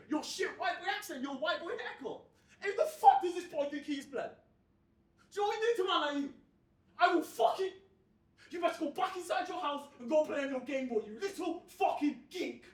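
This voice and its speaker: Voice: medium pitch, very animated, moderate pace, a bit bright. A performer voicing a cocky, menacing teenage street lad in a comic story, with slangy, mocking, swaggering talk. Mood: hostile, shouting. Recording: roomy, distant mic with faint noise.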